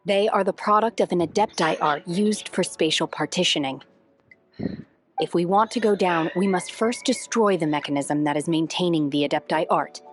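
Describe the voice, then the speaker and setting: A reserved, stoic young woman from a fantasy video game, played by an actress. Her voice sits a little low and stays formal and detached. Soft music plays behind her. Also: normal speed, close to an everyday voice. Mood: serious, watchful.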